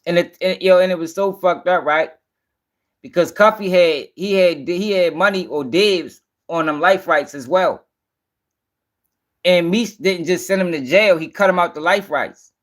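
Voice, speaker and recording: A man's voice: sits high, unhurried, fairly bright tone. A man hosting a casual livestream, commenting bluntly in slang with swearing and repeating himself for emphasis. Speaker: host.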